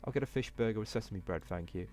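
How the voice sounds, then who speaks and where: A man's voice: fairly low pitch, quick pace, darkish tone, faint music behind, close to an everyday voice. A man voicing a cold, smug schoolboy cat bully in a visual novel, impassive and taunting. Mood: smug, cool. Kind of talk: visual novel character reading.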